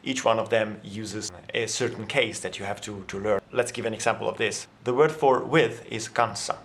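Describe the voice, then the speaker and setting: A man's voice: lowish voice, normal speed, creaky, a bit bright, a little hiss or hum. A man in a language vlog, explaining grammar in a casual, thinking-aloud way with many fillers and restarts; his first language is Italian. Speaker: language vlogger. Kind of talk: casual language lesson to camera.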